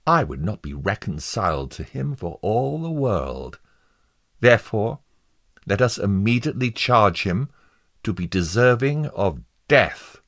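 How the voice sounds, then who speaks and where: Man voicing spiteful, raised-pitch hateful juryman. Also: huge pitch swings, brisk, few pauses, sharp tone, breathy edge, heavily acted. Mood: vindictive. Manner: spiteful, exclaiming, snarling. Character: vindictive male jurymen baying for a hanging.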